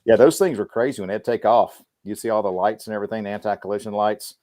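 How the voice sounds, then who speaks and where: Male podcast panelist, low pitch, dry close sound, informal and a little salty in casual group talk. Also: steady tone, fast, mellow, no echo. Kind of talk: casual group chat on a livestream.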